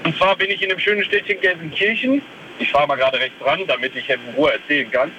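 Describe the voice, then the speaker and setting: A man's voice: on the high side, fast talker, pushed and bright, lo-fi sound, slight background noise. A man from the Ruhr area telling a long rambling story as a caller to a radio show, phoning from his parked car. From Ruhr area, Germany. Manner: chatty, rambling, self-correcting. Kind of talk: phone-in storytelling. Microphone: phone.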